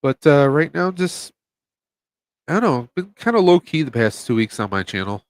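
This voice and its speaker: A man with a stuffed-up nose, guesting on a podcast from home and talking in a halting, casual way with many fillers and restarts. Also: fairly high pitch, big pitch swings, no room sound. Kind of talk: casual chat.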